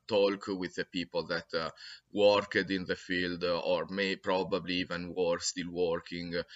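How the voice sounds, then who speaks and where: Halting deep-voiced Italian man. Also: sharp tone, dull audio. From Italy. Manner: halting, many fillers and restarts. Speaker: academic guest. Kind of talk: answering an interview question.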